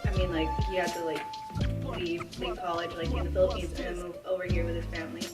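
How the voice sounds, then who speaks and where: A woman's voice: on the low side, flat delivery, quick pace. Woman in her twenties, echoey distant mic. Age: 20s. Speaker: college student. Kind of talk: casual chat over dinner. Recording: roomy, distant mic, music under.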